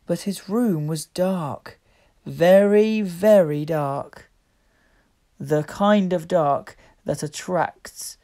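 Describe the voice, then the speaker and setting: Slow, mellow woman reading a storybook. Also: deep voice. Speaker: children's story reader. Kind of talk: storybook read-aloud for young children.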